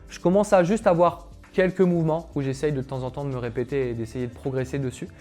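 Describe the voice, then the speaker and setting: A man's voice: mid-range voice, steady tone, quick pace, dark tone. Chatty young man, faint music behind. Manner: chatty, filler-heavy, addresses viewers directly. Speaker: calisthenics coach. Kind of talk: casual personal story monologue to camera.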